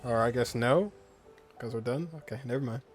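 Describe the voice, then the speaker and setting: A man's voice: mid-range voice, moderate pace. A man giving casual, colloquial let's-play commentary as a gaming streamer, reacting half to himself in a laid-back way. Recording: game music faintly behind commentary.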